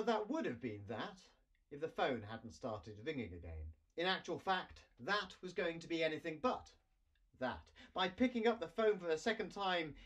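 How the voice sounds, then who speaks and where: A man in his forties giving an expressive reading of a children's story, as its author. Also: fairly high pitch. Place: small cluttered room indoors.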